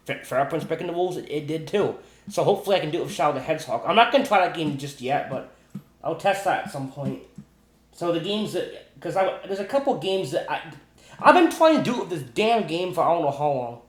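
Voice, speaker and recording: Male gaming creator, higher-than-average pitch, casual and repetitive with slangy enthusiasm, roomy echoing home recording. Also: expressive, fairly quick. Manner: repetitive, stumbling, restarts sentences often. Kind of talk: rambling casual commentary on video game glitches.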